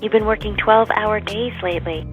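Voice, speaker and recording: A woman's voice: medium pitch, brisk, lo-fi sound, soft background music, close to an everyday voice. An actress playing an earnest, pressing live-in girlfriend in an audio soap opera. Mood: earnest, pleading. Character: earnest, probing live-in girlfriend, career-minded.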